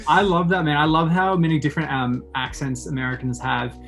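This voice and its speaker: Male podcast host, Australian, late 20s. Fairly high register, keen and informal, loose rambling delivery over faint music. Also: little pitch movement, fairly quick, gravelly, fairly bright tone. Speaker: podcast host interviewing a guest. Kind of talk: casual interview chat.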